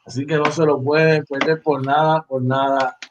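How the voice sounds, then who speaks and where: Lively man in his forties, muffled call. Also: on the high side, moderate pace, background noise. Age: 40s. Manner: animated, repeats phrases, slangy. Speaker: podcast co-host. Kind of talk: casual sports chat.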